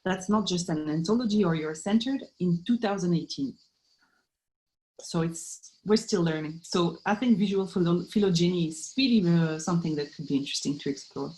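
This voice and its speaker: A woman with a fairly low voice speaks as an academic being interviewed. She explains her subject in a thoughtful, conversational way, building each answer step by step. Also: a little fast, a little mellow, rough recording. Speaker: professor of art and literature history, interviewee.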